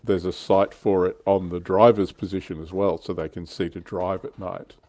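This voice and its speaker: A man's voice: deep voice, level tone, normal speed, a little mellow. A man hosting a livestream, explaining history in an informal, enthusiastic, chatty way. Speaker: livestream host. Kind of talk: casual explanatory history chat.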